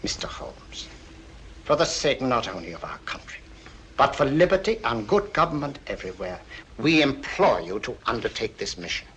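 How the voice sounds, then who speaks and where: An actor playing an earnest, formal, courteous older prime minister in a 1940s film. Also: male voice, medium pitch, sing-song, normal speed, a bit bright. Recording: faintly noisy old 1940s film soundtrack.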